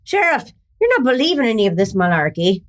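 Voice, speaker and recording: Radio western villainess: crafty older outlaw matriarch, high and very animated, folksy and theatrical. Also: female voice, a little fast. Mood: mock-innocent indignation. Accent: Irish brogue, later Texan. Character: sly older woman outlaw mastermind posing as Irish churchgoer. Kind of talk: old-time western radio acting.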